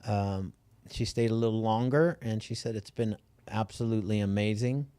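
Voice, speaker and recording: A man's voice: on the low side, fairly even intonation, normal speed, clear, resonant tone, dry recording. A man hosting an online recovery meeting, talking casually and rambling with repeated words. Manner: rambling, repeats himself, gets loud when hyping the guest. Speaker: podcast host, parent.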